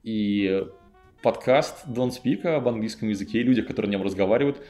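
A man with a low voice hosts a podcast as informal chat, joking as he goes. He is a native Russian speaker, and music plays along with his talk. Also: fairly quick, mellow. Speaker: podcast host.

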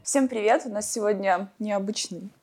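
A woman's voice: medium pitch, moderate pace, background noise. A woman co-hosting a podcast in casual chat, talkative and explaining things at length. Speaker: podcast co-host.

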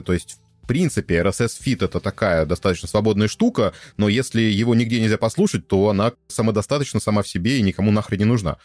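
A man's voice: fairly low pitch, darkish tone, dry recording. A man hosting a podcast, thinking aloud in a loose, slangy, conversational way. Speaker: podcast host.

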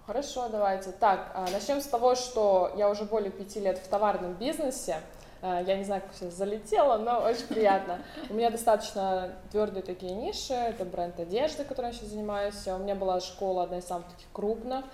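A chatty woman introduces herself on a talk show, speeding up in bursts. Her voice sits at a middle pitch and rings out in a roomy, echoey studio. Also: brisk, warm tone, a little hiss or hum. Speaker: talk show guest, entrepreneur. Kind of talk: casual self-introduction.

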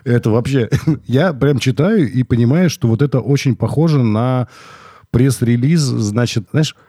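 A man's voice: mid-range voice, unhurried, mellow, no echo, clean studio sound. A man hosting a podcast in casual, colloquial, worked-up talk, congested with a cold. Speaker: podcast host.

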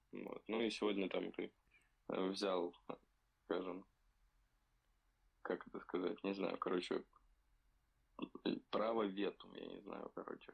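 A young man with a gravelly, fairly low voice chats casually on a remotely recorded podcast. He searches for words, pausing and filling gaps as he goes, and sounds slightly under the weather. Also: lo-fi sound. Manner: hesitant, many fillers, slow and searching. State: slightly ill. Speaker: podcast co-host.

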